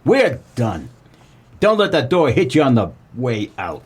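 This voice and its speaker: A man playing a wry, deadpan, world-weary private eye in a comedic noir radio play. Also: medium pitch, a little slow, breathy edge, heavily acted. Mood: dry, dismissive. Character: wisecracking world-weary private detective, grown son of a crook.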